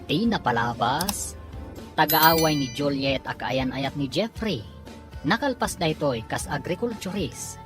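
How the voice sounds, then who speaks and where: A woman announcing and narrating a radio drama in a measured way. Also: low voice. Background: music bed.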